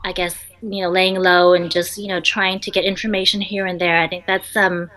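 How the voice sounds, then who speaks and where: A woman's voice: on the low side, little pitch movement, moderate pace, a bit bright. A young woman entrepreneur, a native Vietnamese speaker, answering interview questions in a chatty way full of ums and restarts.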